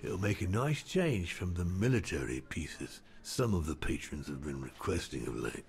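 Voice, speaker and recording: An actor playing an older, fatherly innkeeper in a video game, speaking in warm, folksy praise. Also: deep voice, animated, moderate pace, creaky. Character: kindly old male innkeeper, fatherly and shrewd.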